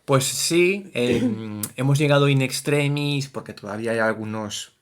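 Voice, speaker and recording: A man, co-hosting a casual culture podcast as a theatre director, animated and playful, exclaiming often. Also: mid-range voice, measured pace. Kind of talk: casual chat.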